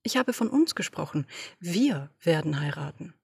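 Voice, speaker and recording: A woman voicing an imperious, domineering female boss who talks in brisk, commanding, dismissive orders. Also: low-pitched, very animated, vocal fry, husky, no room sound, clean studio sound, heavily acted. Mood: cool, commanding. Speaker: podfic reader. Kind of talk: German audio drama reading.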